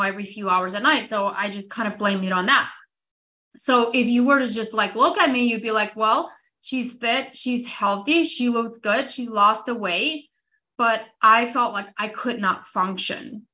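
A woman's voice: fairly high pitch, sharp tone. A young woman hosting a podcast, talking casually and personally, with frequent "like" fillers. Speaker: podcast host. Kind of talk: casual solo podcast talk. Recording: slightly dull lo-fi audio.

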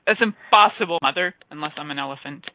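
A woman's voice: deep voice, fast talker. A woman from Canada, a guest in a casual interview, chatty and laughing often as she tells stories. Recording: tinny phone line.

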